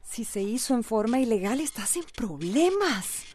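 An actress playing a calm, concerned lawyer and loyal friend in a radio soap, measured and probing, with a Costa Rican accent. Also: female voice, a little high, a little hiss or hum. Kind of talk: radio soap opera acting.

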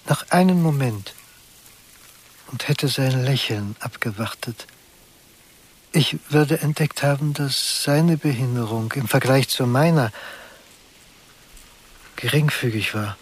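An actor playing a subdued middle-aged father in a radio drama, recalling his son in halting, reflective, measured phrases full of remorse. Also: medium pitch, breathy edge. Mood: subdued, remorseful. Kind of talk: radio drama monologue, introspective narration.

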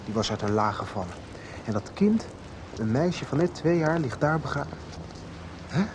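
A man's voice: mid-range voice, lively intonation, moderate pace, noisy background, close to an everyday voice. A man playing an earnest, determined young truth-seeker in a radio soap. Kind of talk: 1990s radio soap acting.

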